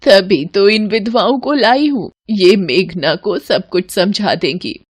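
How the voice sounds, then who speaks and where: A woman acts out an elderly great-aunt in a cartoon family drama. She is stern and bound by tradition, scolding and ordering everyone about in a mid-pitched voice. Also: slow pace, breathy edge, no room sound, clean studio sound. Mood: solemn, insistent. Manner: scolding, commanding. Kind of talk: Hindi animated family melodrama.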